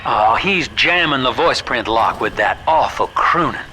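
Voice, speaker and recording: A man's voice: mid-range voice, animated, a little slow, background noise, close to an everyday voice. An actor playing a dry, grumbling male ally who gives instructions in a video game. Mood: exasperated, urgent. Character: exasperated male ally guiding the player. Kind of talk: video game character acting.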